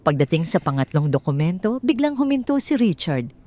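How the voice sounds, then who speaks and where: Low-voiced woman narrating a drama. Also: very animated, fairly quick, darkish tone. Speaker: storyteller.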